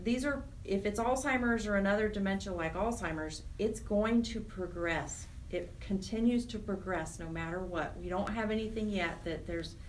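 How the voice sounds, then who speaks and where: Female radio interview guest, mid-pitched, earnest and explanatory, rephrases as she goes, background noise. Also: moderate pace, few pauses. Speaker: guest, charity program director.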